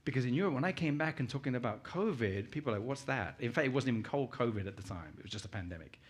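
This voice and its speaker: A middle-aged British man presents to a conference audience in a conversational way. His voice sits at a medium pitch, and he talks informally, like someone sharing personal stories and putting questions to the room. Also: lively intonation, rapid-fire, husky. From United Kingdom, born in Uganda. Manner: conversational, anecdotal, puts questions to listeners. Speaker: guest conference speaker.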